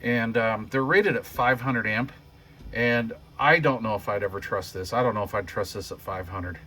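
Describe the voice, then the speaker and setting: A man giving a casual hands-on demo as a hobbyist host, folksy and thinking out loud. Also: lowish voice, moderate pace. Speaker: hobbyist host demonstrating a homemade power supply. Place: workshop bench.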